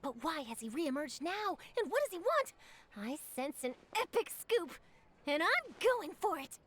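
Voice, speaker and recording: A woman's voice: extremely high pitch, sing-song, measured pace, a bit bright, heavily acted. A woman playing an eager young female newspaper journalist in a video game, telling things theatrically, with music under her voice. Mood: dramatic, determined. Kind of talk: animated game voice acting.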